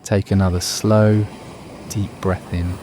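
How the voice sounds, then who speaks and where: A man narrating a guided meditation in a soothing, unhurried, measured manner. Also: low-pitched, flat delivery, dark tone, a little hiss or hum.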